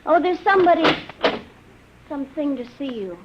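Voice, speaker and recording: An actress playing a brisk, matter-of-fact office secretary in an old radio drama. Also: female voice, sits high, lively intonation. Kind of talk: 1950s radio drama acting. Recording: dull, noisy vintage radio recording.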